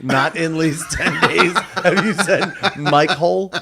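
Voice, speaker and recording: A man co-hosting a podcast, throwing wry, quick interjections into casual banter. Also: a little high, gravelly, sharp tone, breathy edge. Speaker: podcast co-host.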